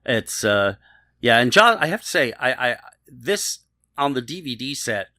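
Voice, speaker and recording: A man in his fifties with a bright, forward voice at an ordinary male pitch, recorded dry. He talks casually as a co-host on a TV discussion podcast, explaining details with a trivia lover's care. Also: sing-song, measured pace, no room sound. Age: 50s. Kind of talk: casual panel discussion of a TV show.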